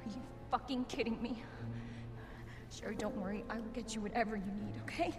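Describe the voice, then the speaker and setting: Tough, protective young game heroine played by a woman, high register, wide swings, strong score underneath. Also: steady tone, a little fast, close to an everyday voice. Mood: reassuring. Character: tough, caring young woman rescuing a child. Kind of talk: video game cutscene acting. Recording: loud music behind the voice.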